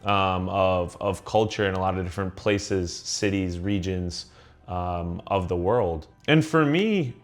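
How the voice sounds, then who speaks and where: A young man from the United States talks through his thoughts in a slightly low, steady voice. He is earnest and conversational, with plenty of ums and false starts. Soft music runs faintly underneath. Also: unhurried. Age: young adult. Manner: earnest, with frequent ums and restarts. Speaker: vlogger. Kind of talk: reflective personal monologue.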